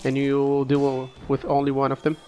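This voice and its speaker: A man with a cold casually commenting on his own gameplay, relaxed and halting, coughing now and then. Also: mid-range voice, a little hiss or hum. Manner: relaxed, halting, frequent restarts and fillers. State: sick, coughing.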